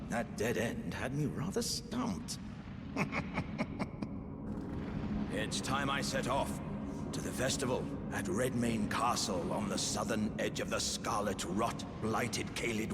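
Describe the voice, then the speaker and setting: Quirky jovial knight-errant for a fantasy game: slow, theatrical, quaint, reverberant space. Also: male voice, mid-range voice. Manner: theatrical, quaint, swooping delivery. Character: jovial, eccentric wandering male warrior, excitable and genteel. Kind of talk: video game voice acting. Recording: long reverb, loud ambient noise.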